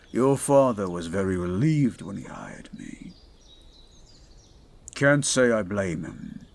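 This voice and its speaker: A man voicing a towering male goliath bodyguard who is stoic, dutiful and protective, speaking in a formal, measured, commanding way in an audio roleplay. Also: lowish voice, animated, gravelly, slight background noise, exaggerated voice. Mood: dry, gentle.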